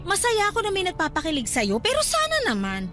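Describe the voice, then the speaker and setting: A Filipino actress playing a nagging but sensible young cousin and co-worker in a radio drama, scolding and exasperated. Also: female voice, very high voice, wildly swooping pitch, fast talker, fairly bright tone, heavily acted. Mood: earnest, cautioning. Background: music bed.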